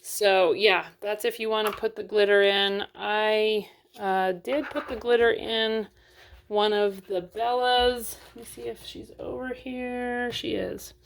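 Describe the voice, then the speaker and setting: Hoarse woman losing her voice, gravelly, talks slow n chatty about her doll. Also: mid-range voice, steady tone. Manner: chatty, frank, many fillers and restarts. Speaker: doll collector reviewing an unboxing. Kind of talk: casual unboxing review.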